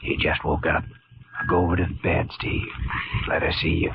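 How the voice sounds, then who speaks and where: Low-voiced, grave young surgeon. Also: male voice, flat delivery, normal speed, gravelly. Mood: subdued, cautious. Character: earnest young male surgeon, grave and controlled. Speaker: actor in a medical radio drama. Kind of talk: old-time radio drama acting. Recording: noisy, tinny old radio recording.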